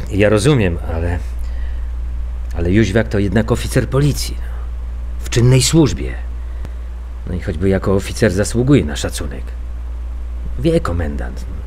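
Weary detective, bit low, halting and measured, radio crime play. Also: male voice, a little mellow, slight background noise. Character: weary, diplomatic homicide police detective and husband. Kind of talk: naturalistic radio crime drama acting.

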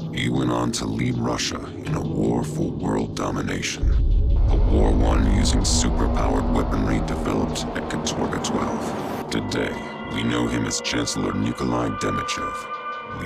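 An actor playing the grave, anonymous spokesman of a secret resistance group, narrating a propaganda film in a solemn, ominous, gravelly voice. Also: extremely low pitch, exaggerated voice. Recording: dramatic music behind.

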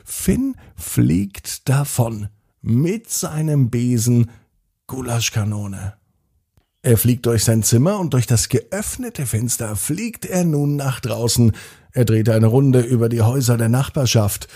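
A man narrating a scripted children's bedtime story, gently speaking to the young listener in a slightly husky, gravelly voice. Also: mid-range voice, animated, slow.

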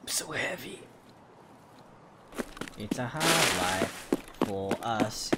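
A man's voice: on the low side, sing-song, drawn-out words, heavy background noise. A man with an Australian accent streaming a shooter game, bantering dryly with a teammate. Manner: dry teasing banter. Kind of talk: casual gaming chat.

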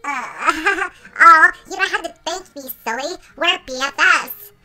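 Bright, high-pitched dramatic little bee sprite. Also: female voice, expressive, measured pace, soft background music, heavily acted. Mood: sweet. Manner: theatrical, fussy, cutesy. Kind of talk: comic dub cartoon acting.

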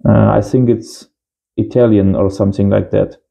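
A man's voice: on the low side, level tone, moderate pace, gravelly, warm tone, highs cut off. A man in his thirties giving a casual hands-on tutorial as host, in simple short phrases. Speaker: host of amateur radio channel. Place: small indoor home workshop.

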